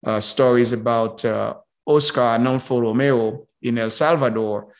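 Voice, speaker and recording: A middle-aged man from Haiti, a guest speaking casually in an interview, halting and repeating words as he goes. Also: mid-range voice, steady tone. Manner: slow, halting, many repeated words and fillers. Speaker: guest, business professor.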